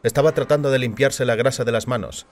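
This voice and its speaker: A man's voice: medium pitch, fast talker, a little hiss or hum. A man reading a short story as scripted audiobook narration. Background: music.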